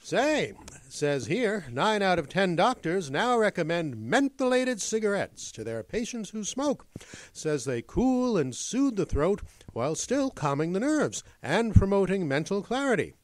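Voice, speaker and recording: A man's voice: high-pitched, very animated, unhurried, dry recording. A man playing a cheery, wholesome husband with a folksy, earnest manner in a mock vintage radio advertisement. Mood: contented, folksy.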